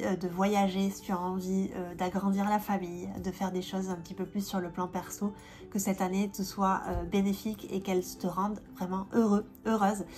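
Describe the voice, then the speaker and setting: A woman's voice: medium pitch, a little mellow. Woman, 30s, vlogger with a cold; loose, filler-heavy chat, faint music underneath. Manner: chatty, many fillers and restarts. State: stuffy, has a cold. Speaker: vlogger and independent language teacher. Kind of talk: casual vlog chat.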